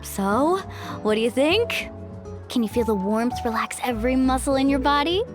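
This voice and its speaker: A woman's voice: high voice, lively intonation, normal speed, over music. A woman playing a cheerful, outgoing young guide in a video game, with a chatty, playful manner. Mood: relaxed, cheerful. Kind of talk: English video game voice acting.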